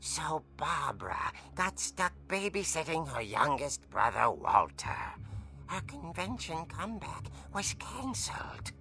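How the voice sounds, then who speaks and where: An actor playing a gleefully macabre old horror-comic host, telling a tale in a hammy, drawn-out theatrical way in a video game. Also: mid-range voice, wildly swooping pitch, gravelly, sharp tone, exaggerated voice. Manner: hammy, drawn-out dramatic delivery. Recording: music under the voice.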